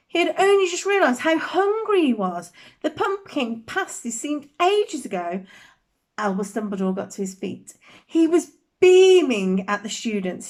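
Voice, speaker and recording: High-voiced, animated woman storyteller. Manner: expressive, dramatic emphasis. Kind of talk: children's bedtime story reading.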